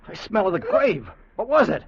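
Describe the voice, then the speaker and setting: An actor playing an earnest, excitable time traveler who tells his adventure intensely in a 1950s radio drama. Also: high voice, creaky, slightly rough, full character voice. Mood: horrified. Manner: intense, deliberate storytelling. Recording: dull vintage radio recording with music.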